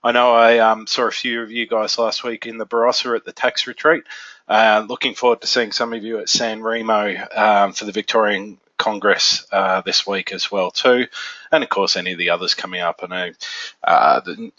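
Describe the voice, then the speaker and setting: A fairly low-voiced man with a cold-stuffed nose runs a software webinar in a relaxed, um-and-uh style. His tone is bright and forward, recorded dry and a bit dull, like lo-fi webinar audio. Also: normal speed, no echo. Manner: casual, filler-heavy, conversational. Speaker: software training consultant. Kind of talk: webinar presentation.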